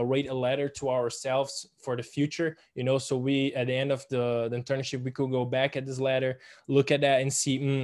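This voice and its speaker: Halting young man, Portuguese native speaker. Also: medium pitch, darkish tone. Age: young adult. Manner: hesitant, halting, with many fillers and restarts. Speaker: student intern presenting. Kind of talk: online presentation. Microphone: video call.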